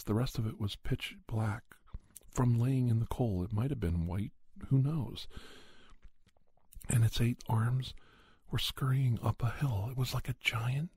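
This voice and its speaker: A man telling a spooky first-person story in a soft, breathy ASMR style, often near a whisper, in deliberate short phrases with pauses. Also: mid-range voice, no room sound. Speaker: podcast storyteller.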